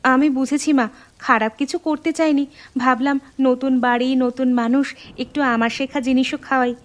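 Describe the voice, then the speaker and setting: High-pitched young Bengali daughter-in-law, played by a woman. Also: fairly even intonation, moderate pace, close to an everyday voice. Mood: apologetic. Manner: earnest, homely, respectful. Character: young Bengali daughter-in-law, caring, deferential, eager to please. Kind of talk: animated moral story voice acting.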